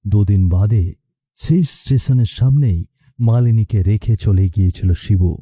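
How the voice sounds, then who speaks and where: A man's voice: lowish voice, normal speed, dark tone, slightly rough, no room sound, studio quality. A man narrating a scripted story in a dramatic storytelling style.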